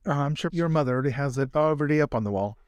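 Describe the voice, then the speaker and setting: A man's voice: fairly high pitch, very fast, no echo, natural voice. A man improvising a fussy, chatty, drily teasing bodyguard and former fencing professor in a tabletop roleplay. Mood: dry teasing.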